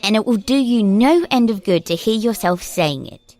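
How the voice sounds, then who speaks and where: A woman's voice: medium pitch, expressive, normal speed, warm tone, close to an everyday voice. A woman playing a poised, mysterious guide in an audio drama, arch and knowing as she probes. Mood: knowing, pointed.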